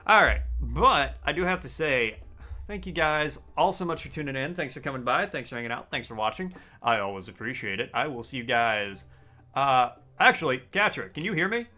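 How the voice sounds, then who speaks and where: A man live-streaming a game, chatting casually with his viewers in a deliberately raised, customer-service-style register. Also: mid-range voice, sing-song, sharp tone, faint music behind. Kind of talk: casual live-stream chat while gaming.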